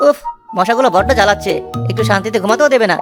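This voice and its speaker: A woman's voice: mid-range voice, very fast, over music, close to an everyday voice. A woman voicing a lazy, gluttonous young village man in a cartoon story, whining and carefree. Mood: drowsy, bossy. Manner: whining, carefree, dreamy about food.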